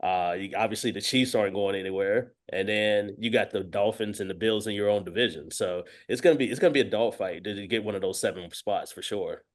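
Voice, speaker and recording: Low-pitched man giving football analysis. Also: a little fast, hardly any pauses. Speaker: sports analyst guest.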